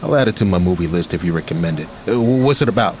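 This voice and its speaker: Radio-play uncle just out of prison: low register, very animated, rambling and boastful yet fond, faint noise behind. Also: male voice, rapid-fire. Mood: candid, reflective. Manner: rambling, candid, streetwise. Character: rambling, streetwise ex-convict uncle, boastful but affectionate. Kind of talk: naturalistic radio play acting.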